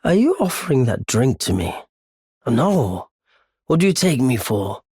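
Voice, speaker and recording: A husky, weary merchant. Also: male voice, medium pitch, big pitch swings, dry recording, studio quality. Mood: wry, friendly. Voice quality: husky, breathy, gravelly. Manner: measured, hushed, formal wording. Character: weary, courteous wandering merchant, darkened by anguish. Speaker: merchant character. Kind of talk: dramatic video game voice acting.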